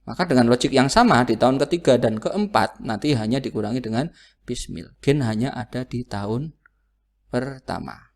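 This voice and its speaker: A man's voice: medium pitch, lively intonation, normal speed. A man lecturing through worked calculations, didactic, often asking a question and then answering it himself. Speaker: accounting lecturer.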